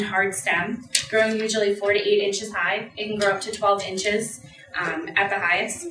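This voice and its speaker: A woman's voice: medium pitch, a bit bright. A young woman giving a class presentation, explaining a recipe in a plain, practical way. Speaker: student presenter. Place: lecture room with audience. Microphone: far camera. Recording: echoey room from far off.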